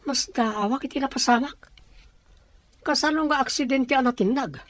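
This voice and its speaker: A woman's voice: sits high, moderate pace, no echo, close to an everyday voice. A woman with an Ilocano accent playing a persistent questioner in a radio drama. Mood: probing. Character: probing, persistent questioner digging into a suspicious death.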